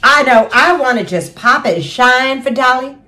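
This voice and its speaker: A woman's voice: high-pitched, lively intonation, unhurried, sharp tone, full character voice. A woman playing a chatty, giddy housewife and mother of seven who gushes and talks to herself while putting on makeup. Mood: excited. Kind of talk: comic character monologue.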